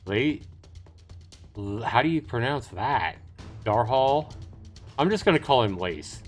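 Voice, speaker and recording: A man's voice: medium pitch, very animated, slow. A man hosting a game livestream, reading story text aloud expressively and dropping in casual asides. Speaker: livestream host playing a visual novel. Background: game background music.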